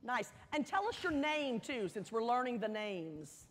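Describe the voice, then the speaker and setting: A woman's voice: on the high side, sing-song, unhurried. A woman hosting a live event, speaking to an audience in an encouraging, direct way and prompting them to take part. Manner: encouraging, direct, asks questions of the crowd. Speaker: host, speaker leading an audience. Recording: echoey hall with audience noise.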